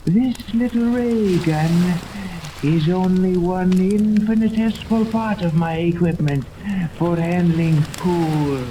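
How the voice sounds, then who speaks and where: A woman's voice: fairly low pitch, slow pace, dark tone. An actress playing an imperious, menacing female villain in a 1930s radio serial, speaking with haughty, commanding, formal diction. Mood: gloating. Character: imperious, menacing woman villain with a paralyzing ray gun. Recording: noisy old 1930s radio recording.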